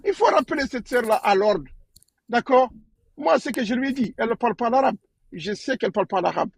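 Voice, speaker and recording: A man in a live debate, speaking in a measured, repetitive and defensive way. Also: extremely high pitch, expressive, gravelly, breathy edge, dry recording. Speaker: debate participant.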